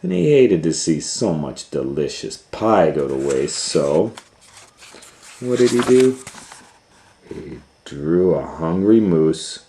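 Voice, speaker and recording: Man, very low voice, unhurried pace, children's storybook reading, informal musing delivery. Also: big pitch swings, dark tone, a little hiss or hum. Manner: improvised asides and musing hums. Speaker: storytime host and reader.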